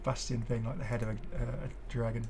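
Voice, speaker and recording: A man hosting a casual game showcase, talking in an enthusiastic, rambling way with halting explanations. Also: mid-range voice, steady tone, very noisy. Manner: enthusiastic, effusive. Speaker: gaming video creator and host. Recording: music playing behind the voice. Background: clear background music.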